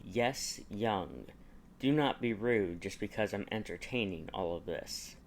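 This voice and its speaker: A man's voice: lowish voice, expressive, a little slow, a little hiss or hum, voice pushed down. A man voicing a haughty, impatient young aristocratic wizard father in an audiobook, with a dry, formal, interrogating manner. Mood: dry, stern. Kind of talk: audiobook character dialogue.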